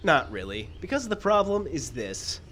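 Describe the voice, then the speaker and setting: A man playing a hesitant but principled screenwriter in a live radio play, speaking in a halting, earnest way. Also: on the high side, big pitch swings, a bit bright. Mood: tense. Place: live radio show in a park. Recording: live stage recording with background noise.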